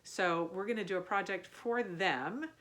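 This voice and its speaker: A woman reading a children's picture book aloud as a storyteller, expressive and musing, as if wondering aloud to young listeners. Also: medium pitch, moderate pace. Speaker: youth ministry storyteller.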